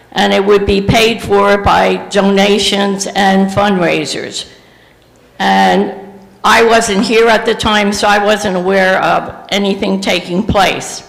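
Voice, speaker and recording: An older man speaking as a resident at a board workshop's public comment, halting with many fillers as he reads through dates and minutes into a handheld microphone in a meeting hall. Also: high-pitched, gravelly, fairly bright tone. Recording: echoey hall, long reverb.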